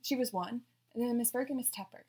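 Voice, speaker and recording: Soft-voiced young woman chatting. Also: on the high side, fast talker, breathy edge. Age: young adult. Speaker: podcast co-host. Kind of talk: casual chat among friends.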